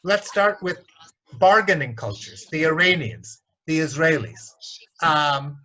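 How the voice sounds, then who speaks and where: Blunt, animated man on a lo-fi call. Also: on the high side, slow pace. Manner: blunt, animated, mimics voices in stories. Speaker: organisation development consultant. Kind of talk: candid interview storytelling.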